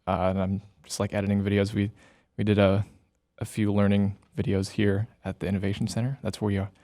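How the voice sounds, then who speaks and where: A man's voice: lowish voice, level tone, a little fast, warm tone, dry recording. A young man from Iowa, a student videographer, answering interview questions hesitantly with lots of fillers in a podcast studio. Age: young adult.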